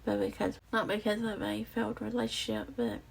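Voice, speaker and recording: A young woman with a raspy voice telling a personal story to her viewers, halting and reflective, with many fillers and restarts. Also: medium pitch, steady tone. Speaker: vlogger. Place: outdoors or near a street with passing cars. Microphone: close mic.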